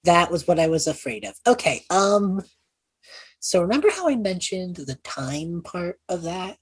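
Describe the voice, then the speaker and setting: A woman playing an awkward, sheepish young male mage student lost in time, husky and halting, in a tabletop game. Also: on the low side, animated. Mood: awkward explaining. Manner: halting, evasive, rambling.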